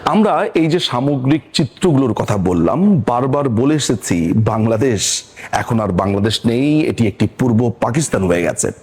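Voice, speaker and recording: Husky-voiced Bangladeshi man, political commentator. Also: mid-range voice, lively intonation, moderate pace, a little mellow. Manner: emphatic, rhetorical questions and repetition. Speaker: political commentator and show host.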